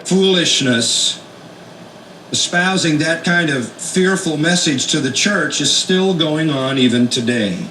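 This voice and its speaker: A man's voice: fairly high pitch, takes it slowly, pushed and bright. A man speaking in a sermon style, heard through a radio playing in a room. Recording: echoey radio playback with faint noise.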